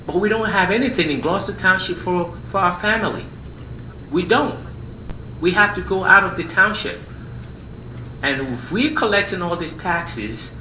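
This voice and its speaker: Bright-voiced impassioned man at public comment. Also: high voice, roomy sound, slight background noise, low-quality recording. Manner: impassioned, halting, pleading. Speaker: resident speaking at public comment. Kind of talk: public comment at a council meeting. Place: township meeting hall.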